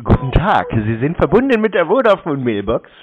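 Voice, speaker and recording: A man delivers teasing phone banter with a deadpan, provoking manner. His pitch moves around in lively swings, and the audio is somewhat dull and lo-fi. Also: fairly quick, crowd noise. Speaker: prank call recipient, scambaiter.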